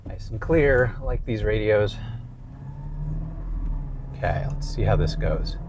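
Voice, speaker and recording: A man's voice: fairly low pitch, animated, takes it slowly. Casual man reviewing gear, car noise behind. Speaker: gadget reviewer presenting a range test. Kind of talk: casual narrated product test. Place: inside a car.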